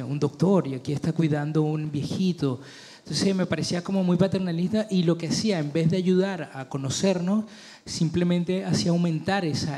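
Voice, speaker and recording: A middle-aged man from Chile, a film director answering festival interview questions thoughtfully, with many pauses and restarts. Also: fairly high pitch, darkish tone.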